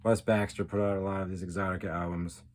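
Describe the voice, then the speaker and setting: A man's voice: sits low, little pitch movement, quick pace. A man giving a casual, off-the-cuff show-and-tell as a collector, rambling along with geeky enthusiasm. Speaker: record collector, video host.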